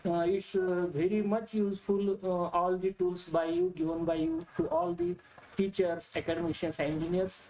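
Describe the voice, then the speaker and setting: A man hosting an online lecture, speaking in a halting way with frequent pauses on a video call. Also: sits high, expressive, creaky, darkish tone, slight background noise, poor audio. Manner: halting, non-standard grammar, frequent "uh". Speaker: webinar host. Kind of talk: casual closing remarks.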